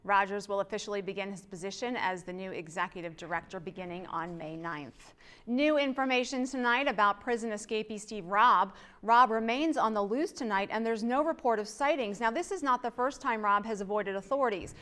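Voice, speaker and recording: A middle-aged woman delivers scripted news from a TV studio. Her voice sits at a medium pitch, and she reads as a news anchor does. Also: brisk, a bit bright.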